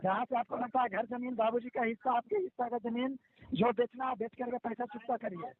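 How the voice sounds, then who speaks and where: Muffled, high-voiced pushy Bihari man on phone. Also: steady tone, a little fast, no echo. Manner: pushy, scolding, repetitive, interrupting. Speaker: bank loan recovery official. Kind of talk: phone argument demanding repayment. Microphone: telephone call recording. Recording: muffled lo-fi recorded phone call.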